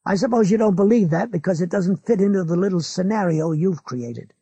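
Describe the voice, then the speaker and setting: A defensive older man snaps back in agitated replies, as a reader acts him out. His voice is lifted above the narration, husky and rough with gravel. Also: mid-range voice, a little fast, mellow, no room sound, voice pushed up. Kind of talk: audiobook character voice.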